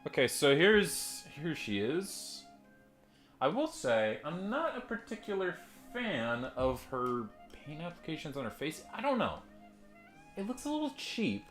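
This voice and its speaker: A man's voice: huge pitch swings, measured pace, fairly bright tone, faint music behind. A man reviewing toys in a casual, rambling, self-deprecating way, swearing offhand. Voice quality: deep voice. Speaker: toy reviewer. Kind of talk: casual unboxing chat. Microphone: desk microphone and phone mic.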